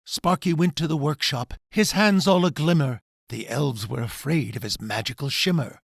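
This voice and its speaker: A man reading his own rhyming children's storybook in a dramatic, expressive way. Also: a little high, normal speed, vocal fry, no echo, clean studio sound.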